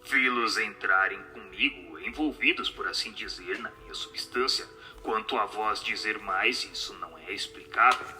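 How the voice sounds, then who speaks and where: A man voicing a solemn spirit in a radio drama, speaking in a measured, grave, didactic way. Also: on the low side, pushed and bright, faint music behind. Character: solemn spirit answering questions from the beyond.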